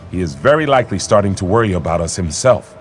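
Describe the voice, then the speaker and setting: An actor playing an eager, loyal young warrior prince in a video game, speaking in formal, earnest phrases. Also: male voice, low-pitched, animated, quick pace, faint music behind. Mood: earnest, urging. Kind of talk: video game character acting.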